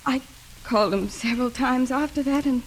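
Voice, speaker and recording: A woman's voice: high-pitched, fairly quick, slight background noise, low-quality recording. An actress playing a troubled widowed mother in an old radio drama, hesitant and melancholy. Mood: hesitant, defensive. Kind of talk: 1940s radio acting and narration.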